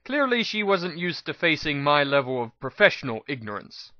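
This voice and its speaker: A man's voice: fairly high pitch, sing-song, normal speed, pushed and bright, no room sound, dull audio. A man giving a dramatic, scripted narration of a fantasy story. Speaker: amateur narrator of fan fiction audiobook.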